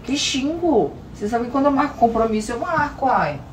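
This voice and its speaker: A woman's voice: on the high side, sing-song, fairly quick, reverberant, recorded from a distance, noisy background. A young woman bantering playfully with her partner while getting ready at home. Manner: teasing, playfully annoyed banter. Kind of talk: casual phone video. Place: indoors, bedroom dressing area.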